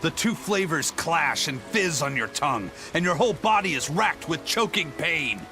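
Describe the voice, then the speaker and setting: A man's voice: a little high, expressive, moderate pace, music in the background. A man voicing a stern, proud pirate in a video game, matter-of-fact but dramatic. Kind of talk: English video game voice acting.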